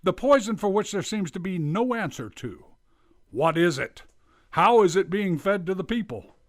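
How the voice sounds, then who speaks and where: An actor playing a stern, impatient city mayor demanding action in a radio drama. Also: male voice, high-pitched, very animated, moderate pace. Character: stern, blustering middle-aged male city mayor.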